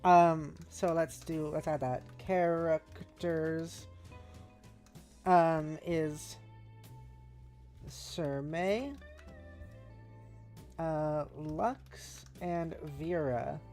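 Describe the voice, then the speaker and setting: Halting, giggly woman streamer over music. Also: low-pitched, a little mellow. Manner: giggly, halting, thinking aloud. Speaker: streamer, host. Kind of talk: casual livestream chat, reading out viewer messages.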